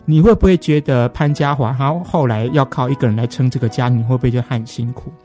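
A man's voice: medium pitch, fast, dark tone, faint music behind. A man with a Taiwanese Mandarin accent hosting a conversational radio interview in an effusive, encouraging manner. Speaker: interview host.

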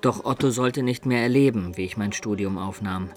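An actor playing an earnest, curious medieval pupil and scribe in a radio play, speaking in a literary manner. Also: male voice, on the low side, moderate pace, slight background noise, lightly acted. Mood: reflective. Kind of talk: radio play narration and dialogue.

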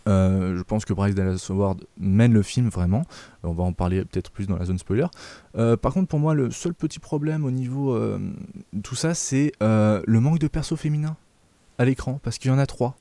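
Hesitant French man, mellow dry voice. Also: fairly low pitch, normal speed, dry recording. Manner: hesitant, many fillers and restarts, opinionated. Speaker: film review show host. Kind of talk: casual film review chat.

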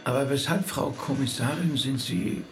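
Guy acting as a busy middle-aged rabbi, very polite n a bit hesitant, radio drama style, normal male pitch. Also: normal speed, lightly acted. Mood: shocked, hesitant. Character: courteous, busy rabbi, shaken by bad news. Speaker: voice actor in an audio drama. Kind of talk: German radio play acting.

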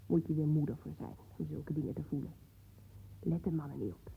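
A woman's voice: low-pitched, steady tone, brisk, gravelly, warm tone, a little hiss or hum. A woman playing a bossy, scornful mother in a radio play, nagging sarcastically in colloquial speech. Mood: grumbling. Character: bossy, nagging, scornful mother waiting at her daughter's school. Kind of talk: naturalistic radio play dialogue. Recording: dull, muffled old radio play recording.

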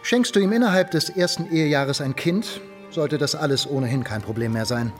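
A man's voice: fairly high pitch, a little fast, faint music behind, natural voice. An actor playing a stern, controlling merchant father, middle-aged, proud and commanding, in a German radio drama. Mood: cold, resolute.

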